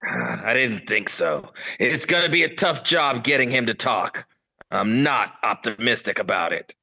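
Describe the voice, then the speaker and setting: A man's voice: medium pitch, animated, moderate pace, gravelly, bright, forceful tone, full character voice. An actor playing a blunt, demanding male police captain in a radio drama. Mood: stern. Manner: blunt, insistent.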